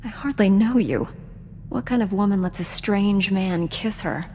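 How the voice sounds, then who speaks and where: Actress as composed young heroine, long reverb. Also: female voice, medium pitch, warm tone, a little hiss or hum, lightly acted. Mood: wry, teasing. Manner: composed, measured, dryly teasing. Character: composed, wryly teasing female Jedi Knight hero. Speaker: video game player character. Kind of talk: video game voice acting. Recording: long hall reverb, game music underneath.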